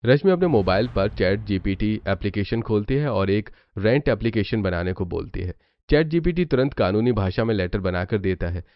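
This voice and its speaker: A man's voice: on the low side, lively intonation, normal speed, few pauses, no room sound. A man narrating a scripted cartoon family story. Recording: muffled audio, clear background noise.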